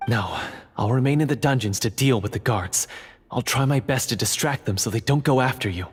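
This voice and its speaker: A man playing an earnest undercover dungeon guard in a fantasy video game, speaking gravely, formally and urgently. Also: medium pitch, a little fast, close to an everyday voice. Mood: resolute. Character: earnest undercover male dungeon guard, secret monster hunter. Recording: long reverb, faint noise.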